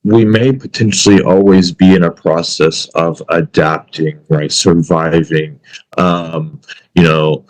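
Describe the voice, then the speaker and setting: A man's voice: deep voice, fairly even intonation, takes it slowly, darkish tone, poor audio. A man in his thirties from the United States whose first language is Arabic, talking reflectively as a podcast guest with frequent fillers. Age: 30s. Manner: reflective, many fillers and restarts. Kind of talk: casual interview chat.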